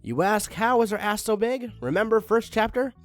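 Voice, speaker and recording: A man reading a badly written story aloud with mocking deadpan asides and spoken punctuation, over faint music. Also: high voice, moderate pace. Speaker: reader of online stories. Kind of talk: comedic dramatic reading with commentary.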